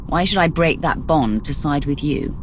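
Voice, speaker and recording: A woman's voice: low voice, expressive, moderate pace, noisy background. An actress voicing a firm, composed and authoritative female commander in a video game. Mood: stern. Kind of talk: video game cutscene acting. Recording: game music under the voice.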